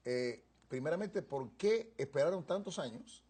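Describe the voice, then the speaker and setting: A man's voice: fairly high pitch, animated, moderate pace, a little mellow, slightly rough. A man, a pastor, hosting a talk show in a jovial, teasing way. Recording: music under the voice. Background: theme music.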